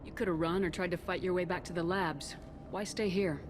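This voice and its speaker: A woman's voice: on the low side, fairly even intonation, a little fast, natural voice. A woman playing a principled, controlled military commander in a video game, speaking directly and firmly. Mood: probing, firm. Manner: direct, probing questions. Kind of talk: video game character acting. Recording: noisy background ambience.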